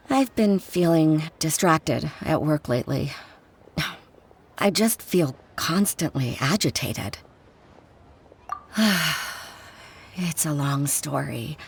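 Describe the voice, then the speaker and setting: A woman's voice: low voice, creaky, slight background noise, full character voice. A woman playing a polite, dutiful young professional daughter in a video game, earnest and composed.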